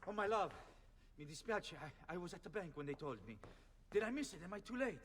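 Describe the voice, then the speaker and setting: A gentle Italian Renaissance father, soothing and proud, with a lively pitch that rises and falls. He sounds like he is in a reverberant stone room, with faint noise behind. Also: a little fast. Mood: tender. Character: tender Renaissance father of a newborn son.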